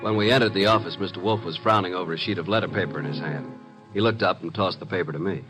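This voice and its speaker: Wisecracking low-voiced radio detective's sidekick, played by a man. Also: fast, over music, natural voice, poor audio. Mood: wry narration. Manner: wry, breezy, slangy. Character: wisecracking, flirtatious detective's assistant who narrates the tale. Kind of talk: old-time radio detective drama acting with narration. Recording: vintage radio drama recording.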